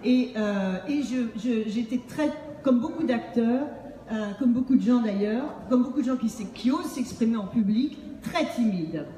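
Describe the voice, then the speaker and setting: A middle-aged woman with a slightly high voice gives a playful public presentation. She talks through a stage microphone, and the hall rings around her. There is faint background noise. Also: normal speed, warm tone, recorded from a distance. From Montreal, Canada. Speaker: author and acting-based speaking trainer. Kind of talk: humorous public book presentation. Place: on stage in a large book fair hall. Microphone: handheld microphone through PA, recorded by distant camera.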